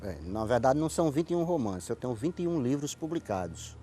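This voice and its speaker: A man's voice: mid-range voice, sing-song, brisk, mellow, slight background noise. A man with a Northeastern Brazilian accent giving conversational interview answers, explaining things and repeating words as he searches for phrasing. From Paraíba, northeastern Brazil. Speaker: guest, writer and playwright.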